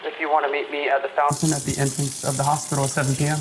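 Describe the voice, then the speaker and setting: A man as a composed, even-voiced surgeon. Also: a little high, very fast, background noise, lightly acted. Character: composed, assured male surgeon. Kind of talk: audio drama acting.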